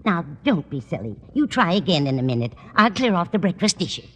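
A woman's voice: on the low side, very animated, fairly quick, fairly bright tone, a little hiss or hum. A woman playing a fussy, motherly, chatty landlady in an old radio comedy. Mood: coaxing. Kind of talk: 1940s-50s radio comedy acting. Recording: reverberant old radio recording.

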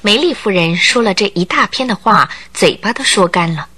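Bright, animated woman narrator. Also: mid-range voice, normal speed. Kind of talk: dramatized story narration for radio drama.

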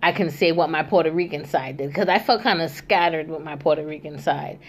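A woman's voice: low voice, fairly quick. A young woman hosting a casual chat, talking loosely with many asides and fillers. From New York. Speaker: host.

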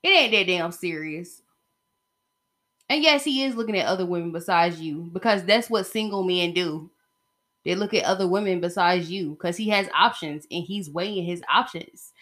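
The sassy American woman you'd hear on a homemade solo podcast late at night, slangy and rambling, with a bright, forward voice. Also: fairly low pitch, moderate pace. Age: 30s. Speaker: podcast host. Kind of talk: casual solo podcast chat.

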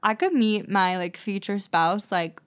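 A woman's voice: medium pitch, a little slow, smooth. Chatty young woman with a Wisconsin accent. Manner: chatty, filler-heavy, many likes. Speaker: podcast co-host. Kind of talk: casual chat.

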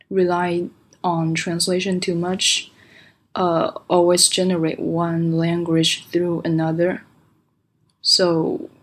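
A woman's voice: on the low side, slightly rough. A woman from China, a native Chinese speaker, carefully asking a podcast host a question in a recorded voice message. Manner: careful, deliberate English. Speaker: listener sending a question.

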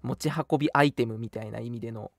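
A man's voice: medium pitch, fast, gravelly. A young man co-hosting a casual radio talk show, explaining things in a conversational way. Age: young adult. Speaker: radio show host from an audio drama studio. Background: background music.

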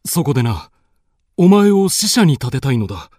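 An actor playing a dignified, lovestruck duke in a radio drama, speaking in a lordly, formal, theatrical and earnest way. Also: male voice, on the high side, very animated, normal speed, mellow, no room sound, clean studio sound.

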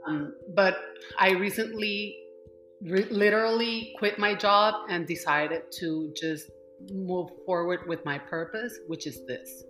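Colombian-born woman chatting on a podcast, slow and halting, echoey room, faint music behind. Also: on the low side, fairly even intonation, fairly bright tone. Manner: halting, with many restarts and fillers. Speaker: guest, manifestation coach. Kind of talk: casual interview talk.

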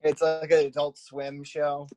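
Wisecracking young man, somewhat high voice. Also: completely flat delivery, dark tone. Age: 20s. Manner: teasing, quick wisecracks.

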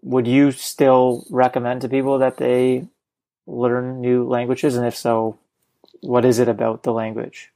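A man hosting an interview, asking measured questions. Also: medium pitch, completely flat delivery, mellow. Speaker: interview host.

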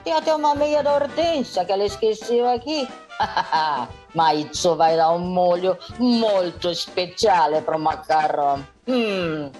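A woman's voice: mid-range voice, darkish tone. A woman playing a defiant, spiteful, scheming young woman in a comic radio soap, theatrical and prone to muttering to herself.

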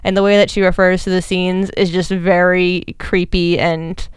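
Woman, 30, podcast cohost, super crisp clear voice, dry sound, blunt and chatty. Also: fairly low pitch, little pitch movement, dry recording. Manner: candid, emphatic, quick asides. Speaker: podcast co-host. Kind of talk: casual chat.

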